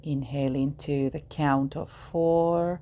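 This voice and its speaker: Female meditation guide, soft mellow tone, extremely unhurried and soothing, with faint ambient noise behind. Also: deep voice, fairly even intonation. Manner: soothing, measured, with long pauses between short phrases. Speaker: yoga nidra guide.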